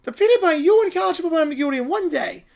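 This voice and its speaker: A man voicing a flustered, melodramatic cartoon villain in a shouty, exasperated, theatrical way. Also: very high-pitched, animated, fast talker, vocal fry, voice pushed up, heavily acted. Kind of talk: cartoon parody voice.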